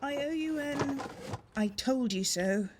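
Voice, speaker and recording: An actress playing a worried, apologetic shopkeeper in a radio soap. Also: female voice, high-pitched, lively intonation, slow, background noise.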